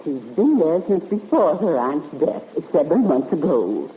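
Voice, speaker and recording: A woman's voice: sits low, big pitch swings, normal speed. An actress playing a fretful, genteel elderly lady companion in a radio drama, hesitant and fluttery in her speech. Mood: anxious, fluttery. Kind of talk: 1950s radio drama acting. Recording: muffled, noisy 1950s radio recording, reverberant.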